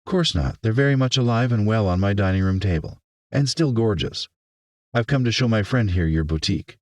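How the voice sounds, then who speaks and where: A man's voice: on the low side, expressive, a little fast, no echo, clean studio sound, voice pushed up, close to an everyday voice. A man voicing a firm, blunt woman mayor in an audiobook story, with a commanding manner. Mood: warm, easy. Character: firm, plain-spoken woman mayor of a survivor town.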